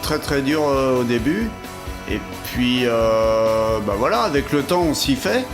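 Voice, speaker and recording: A man's voice: medium pitch, animated, a little slow, music in the background. A man talking casually in an interview about his own experience. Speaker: interviewee, expatriate.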